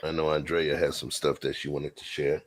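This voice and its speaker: A man's voice: very deep voice, flat delivery, normal speed. An American man hosting an unscripted show with slangy, playful, teasing banter. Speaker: host of an online reaction show.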